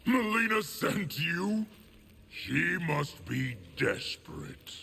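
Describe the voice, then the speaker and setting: An actor playing a haughty, highborn rebel general in a video game, forceful and coldly righteous. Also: male voice, very animated, takes it slowly, creaky, heavily acted. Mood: haughty, menacing. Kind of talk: video game villain dialogue. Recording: long hall reverb, faint noise.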